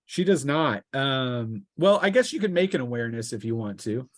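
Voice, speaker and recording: A man's voice: medium pitch, very animated. A man working as game master, improvising narration for a tabletop roleplaying game and often restarting his sentences partway through. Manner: halting, restarting descriptions mid-sentence.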